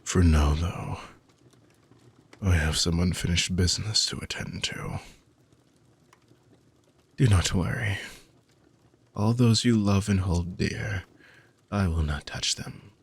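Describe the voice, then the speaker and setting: A man playing an ancient, weary dark mage villain in a fantasy audio roleplay, husky and gravelly, speaking theatrically with long dramatic pauses. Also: sits low, full character voice. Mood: soft, solemn. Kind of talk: fantasy audio roleplay monologue addressed to listener.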